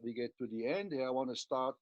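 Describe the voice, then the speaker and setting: A man in his sixties from Switzerland with a Swiss accent, a cardiologist giving a halting talk over slides with many fillers and restarts. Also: medium pitch, dry recording. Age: 60s. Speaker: cardiologist guest. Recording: dry, muffled lo-fi sound.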